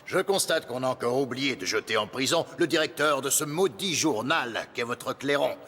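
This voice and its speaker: An actor playing a smug, grandiloquent rich baron of fifty, a falsely benevolent cartoon villain. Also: male voice, medium pitch, animated, moderate pace, sharp tone. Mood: mocking politeness. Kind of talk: French animated series acting. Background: music.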